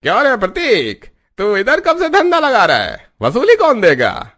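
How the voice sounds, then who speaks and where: A man's voice: sits high, wildly swooping pitch, unhurried, a bit bright, exaggerated voice. A man voicing a bullying, menacing street thug in a cartoon story. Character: bullying male street thug demanding money.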